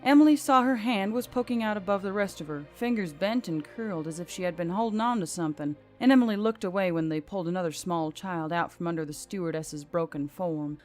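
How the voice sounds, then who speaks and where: A woman's voice: fairly low pitch, a little fast, few pauses. Woman narrating a story over music. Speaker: audiobook narrator. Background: background music.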